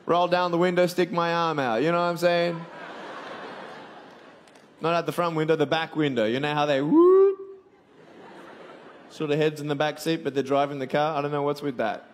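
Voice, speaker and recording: A man's voice: fairly high pitch, animated, moderate pace, pauses often, slight background noise. A man in his twenties with an Australian accent, giving a joking, motivational sermon to a live audience and calling for responses. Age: 20s. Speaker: evangelist speaker. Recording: long hall reverb.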